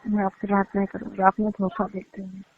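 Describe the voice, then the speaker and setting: A woman's voice: mid-range voice, monotone, a little fast. A woman on an emotional personal phone call, speaking in an accusing, hurt and insistent way. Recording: muffled narrowband phone-line call. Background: phone call recording.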